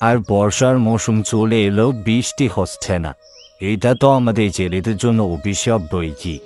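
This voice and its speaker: A poor village fisherman played by a man in a cartoon, low in pitch. He speaks plaintively, like a resigned husband fretting over hard times. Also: moderate pace, a little mellow, soft background music, lightly acted. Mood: worried.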